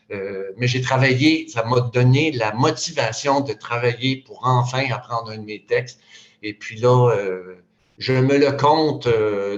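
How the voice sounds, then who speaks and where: A man of sixty-five with a Quebec French accent, speaking casually at a community panel, hesitant with many fillers and restarts. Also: mid-range voice. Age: 60s. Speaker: panel speaker, retired psychoeducator. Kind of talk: casual public talk. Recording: roomy, dull lo-fi sound.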